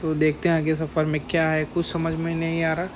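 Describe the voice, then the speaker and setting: A man's voice: on the high side, completely flat delivery, a little fast. A man vlogging casually on a trip, chatting and pointing things out to the camera outdoors on a phone. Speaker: travel vlogger. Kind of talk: casual vlog narration. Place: outdoors, on the road and at a mosque courtyard. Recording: loud outdoor noise.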